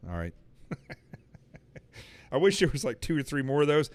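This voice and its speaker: A man's voice: a little high, big pitch swings, unhurried, dry recording. A man hosting a live show with a sore throat, talking in a rambling, sarcastic way full of fillers. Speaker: host. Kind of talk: casual livestream monologue. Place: studio in Manhattan.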